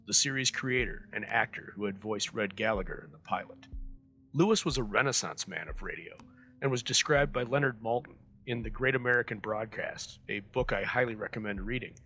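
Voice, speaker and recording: Male host for vintage radio introductions, scripted narration, forceful bright tone, soft music underneath. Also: medium pitch. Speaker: host of old-time radio show presentations.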